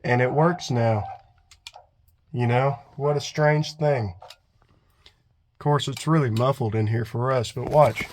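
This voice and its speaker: Male DIY vlogger, mid-pitched, offhand and unscripted, talking through hands-on work with some background noise. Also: steady tone, takes it slowly, long pauses, a little mellow. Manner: unscripted, thinking aloud. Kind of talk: casual hands-on walkthrough. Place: in and around a pickup truck.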